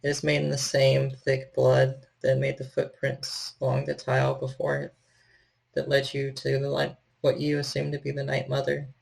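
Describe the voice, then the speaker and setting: A man with a gravelly, husky voice, narrating a horror tabletop game as storyteller in measured, literary phrasing. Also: mid-range voice, monotone, a little mellow, poor audio.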